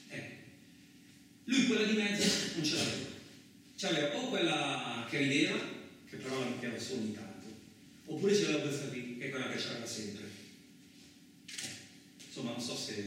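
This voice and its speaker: A man acting in a radio play as a gruff, crude truck driver who tells his story in blustering, rambling, colloquial speech. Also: medium pitch, fairly bright tone, close to an everyday voice. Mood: musing, conversational. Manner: blustering, rambling, conversational, mildly swearing. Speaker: actor in a solo radio play. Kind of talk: colloquial theatrical radio monologue. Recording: roomy, echoing distant miking with faint noise.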